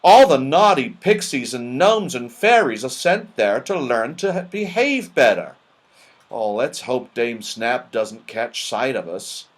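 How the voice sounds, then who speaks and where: A man's voice: mid-range voice, lively intonation, slow pace. A man voicing an anxious, earnest male fairy companion in a children's storybook, with emphatic delivery. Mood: alarmed.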